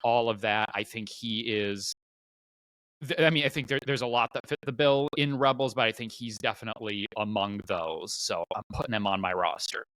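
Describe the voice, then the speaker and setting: A man's voice: lowish voice, normal speed, a bit bright, dry recording, poor audio. A man co-hosting a casual fan podcast, thinking aloud in a slightly tentative, conversational way. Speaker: podcast host.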